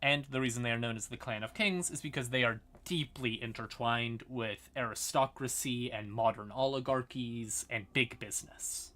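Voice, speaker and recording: A man's voice: medium pitch, normal speed, hardly any pauses, sharp tone. A man hosting a livestream, explaining fantasy lore in a casual, informal manner with some swearing. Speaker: live streamer and host.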